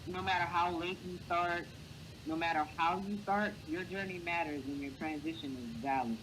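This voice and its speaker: A man with a light, boyish voice, speaking earnestly as a guest in a casual interview and giving encouraging advice. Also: normal speed. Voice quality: high, boyish voice. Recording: clear background noise.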